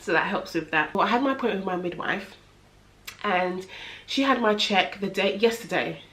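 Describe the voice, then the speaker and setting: A woman's voice: medium pitch, moderate pace, a bit bright. Chatty stuffed-up young woman. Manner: chatty, rambling, many fillers. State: congested with a head cold. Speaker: vlogger. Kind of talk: casual pregnancy update vlog. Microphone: camera in front of her.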